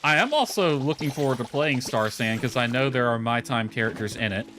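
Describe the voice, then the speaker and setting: A man's voice: medium pitch, animated, fairly quick, fairly bright tone, a little hiss or hum. A man giving casual, rambling livestream commentary as he plays a game, thinking aloud as he goes. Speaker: game streamer. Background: background music.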